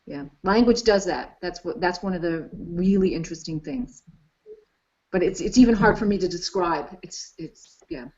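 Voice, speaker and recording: A woman's voice: fairly low pitch, moderate pace, darkish tone. A woman discussing languages as an expert guest, enthusiastic and thinking aloud with frequent restarts. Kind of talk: casual discussion. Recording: dull lo-fi live stream audio.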